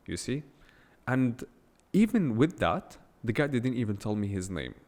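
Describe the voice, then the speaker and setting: A man's voice: medium pitch, big pitch swings, a little slow, a little mellow, long reverb tail. A man hosting a solo podcast, talking without a script in a blunt, emphatic way and firing off rhetorical questions. English is not his first language. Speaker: podcast host. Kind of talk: unscripted solo podcast monologue.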